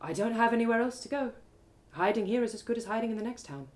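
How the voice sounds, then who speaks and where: A woman's voice: a little high, lively intonation, fast, pitched above the performer's own voice, close to an everyday voice. A woman voicing a guarded, world-weary female elf fighter, blunt and reserved, in a told fantasy story. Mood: resigned.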